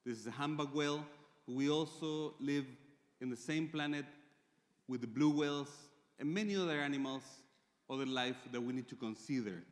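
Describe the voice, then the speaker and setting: A man from Chile with a Chilean Spanish accent, speaking English as a second language and giving a conference presentation with measured pauses and fillers. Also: medium pitch. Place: large conference hall. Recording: reverberant conference hall.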